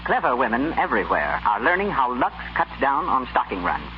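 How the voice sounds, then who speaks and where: A man's voice: mid-range voice, huge pitch swings, brisk. A male announcer reads a polished commercial script in 1930s style. His voice swings expressively on a muffled recording with faint hiss.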